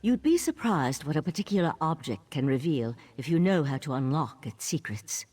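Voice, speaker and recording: An actress playing an earnest, composed mage in a video game, explaining things in a measured way. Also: female voice, sits low, very animated, darkish tone, breathy edge, heavily acted. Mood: composed, thoughtful. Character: earnest, composed female mage of a scholarly order. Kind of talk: video game character dialogue.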